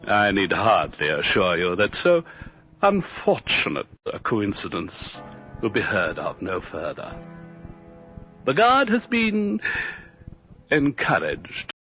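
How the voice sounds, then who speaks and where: An actor playing a pompous, fussy official who haltingly composes a formal letter in a radio drama. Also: male voice, on the low side, huge pitch swings, slightly rough, faint music behind, exaggerated voice. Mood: fussy, uneasy. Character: hesitant, pompous official reporting delicately to his prince. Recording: dull old radio recording, faint noise.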